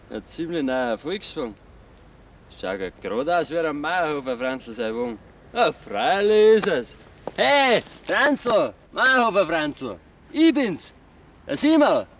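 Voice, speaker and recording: An actor playing a rattled, simple village man in a 1950s Bavarian dialect radio play, given to muttering to himself and calling out. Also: on the high side. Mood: curious, then calling, friendly. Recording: 1950s radio production, outdoor noise behind.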